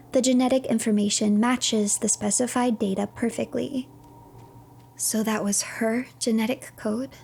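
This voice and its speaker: A woman streamer narrating a game playthrough as a third-person story, with a hushed, dramatic storyteller's delivery and a creaky voice. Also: on the high side.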